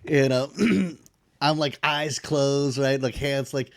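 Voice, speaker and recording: A man with a baritone voice tells stories in casual podcast chat. His pitch sits in the middle, and he laughs often as he talks. The sound is dry and close. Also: takes it slowly, creaky, no echo. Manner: animated storyteller, laughs often. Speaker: podcast co-host.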